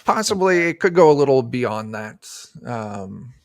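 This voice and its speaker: A man speaking as a game designer in a casual interview over a video call, pausing to search for words. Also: mid-range voice, big pitch swings, dry recording, studio quality.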